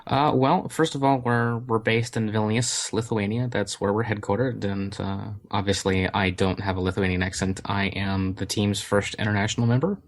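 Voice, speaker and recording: A man with a lowish voice talks through his answers in a casual interview. He pauses often and stacks up fillers and restarts as he explains. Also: steady tone. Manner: hesitant, many fillers and restarts. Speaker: game studio community manager.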